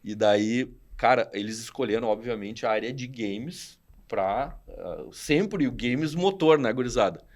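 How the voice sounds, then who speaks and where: Animated storyteller from southern Brazil, man in his 40s, slangy, acts out quotes, mid-pitched voice. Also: measured pace, few pauses. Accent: southern Brazilian Portuguese. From Rio Grande do Sul, Brazil. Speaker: podcast guest, studio founder. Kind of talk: casual storytelling chat.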